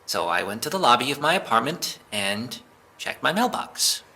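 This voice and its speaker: A man's voice: medium pitch, big pitch swings, normal speed, gravelly, pushed and bright, heavily acted. A man playing a flustered, defensive young suspect in an audio drama police interrogation, hesitant and rambling as he explains himself. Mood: hesitant, explaining.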